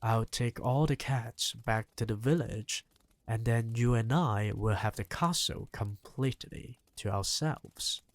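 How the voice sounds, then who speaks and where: A reader voicing a fierce, spirited old grandmother in an audiobook, talking briskly and impatiently. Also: mid-range voice, no echo, pitched below the performer's own voice, natural voice. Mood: grand, planning. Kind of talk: audiobook character reading.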